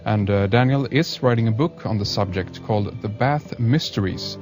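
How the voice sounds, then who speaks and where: Slow, low-voiced radio host over music. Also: male voice. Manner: slow, hesitant, many uhs. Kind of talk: radio show introduction and interview. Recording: music bed behind voice.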